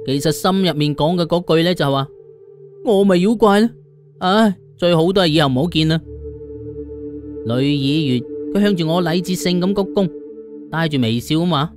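A man's voice: fairly high pitch, moderate pace, long pauses, over music. A man with a Cantonese accent narrating a comic first-person audiobook in a colloquial, theatrical way.

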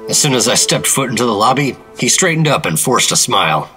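An actor playing a wary, terse 1950s secret agent in a noir radio drama, with a deadpan, clipped delivery. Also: male voice, mid-range voice, a little fast, music in the background, lightly acted.

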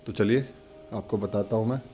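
A man with a fairly low voice talks through a hands-on demonstration as host. His delivery is casual and instructional, explaining step by step and repeating small pointers. Music plays along with his voice. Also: normal speed, mellow. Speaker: product demonstrator and channel host. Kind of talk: casual step-by-step demo narration.

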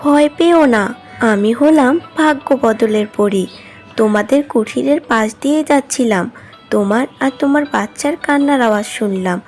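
A woman's voice: on the high side, moderate pace, a little mellow, faint music behind, natural voice. A woman voicing a benevolent fortune-changing fairy in a children's cartoon, gracious and reassuring.

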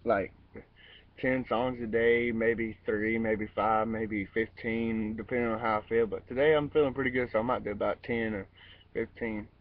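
A man's voice: fairly low pitch, fairly even intonation, moderate pace, recorded from a distance, slight background noise. Young man chatting on a lo-fi webcam outdoors. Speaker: amateur singer. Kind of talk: casual vlog with sung cover. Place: outdoors in a wooded yard. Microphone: webcam at close range, wearing headphones.

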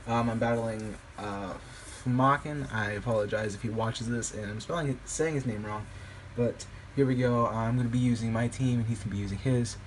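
A slightly raspy young man, a bit sick, commentating his online game battle in a rambling, hesitant way with many ums. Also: medium pitch, normal speed, few pauses, slight background noise, rough recording. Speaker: gaming YouTuber. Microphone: phone filming a screen. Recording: music playing behind.

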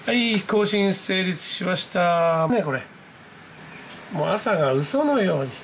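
A man giving casual, excited live commentary as a hobby vlogger, reacting aloud in exclamations as he goes. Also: sits high, slow, a little hiss or hum. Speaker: amateur radio operator hosting his own video. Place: indoors at a desk, filming a computer screen.

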